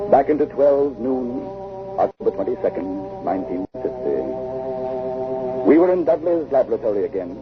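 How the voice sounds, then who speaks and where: An actor playing an earnest, anguished adventurer in a dramatic, intense 1950s radio drama style, on a muffled vintage recording. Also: male voice, fairly low pitch. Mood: somber, bewildered. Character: earnest, anguished adventurer telling his time-travel tale.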